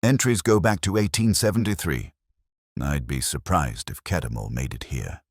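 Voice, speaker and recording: A man's voice: a bass voice, expressive, moderate pace, dry recording, exaggerated voice. A man voicing a meek, suspicious village reverend in a mystery story, with a wary, dry manner. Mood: surprised, doubtful.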